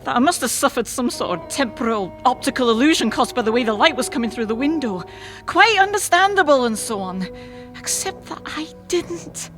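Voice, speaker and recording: Woman playing frightened housekeeper, anxious, higher-pitched, audio drama, clear music bed. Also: animated, fairly quick, a bit bright. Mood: shaken, insistent.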